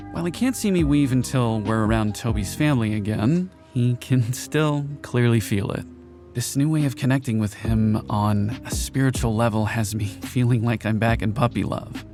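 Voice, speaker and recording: A man's voice: medium pitch, a little mellow, natural voice. An actor playing a tender, devoted middle-aged family man, speaking in a sincere, reflective and lightly humorous way. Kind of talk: heartfelt voice acting, journal narration. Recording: voice over background music.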